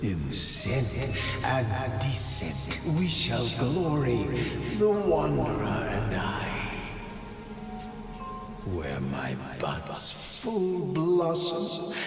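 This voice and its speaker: Echoing, drawn-out, riddling plant spirit. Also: male voice, mid-range voice, very animated, full character voice. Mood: rapturous, menacing. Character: cryptic plant-like male spirit, rapturous, speaks in riddles. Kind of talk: theatrical game acting in poetic riddles. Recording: echoing cavernous reverb, music underneath.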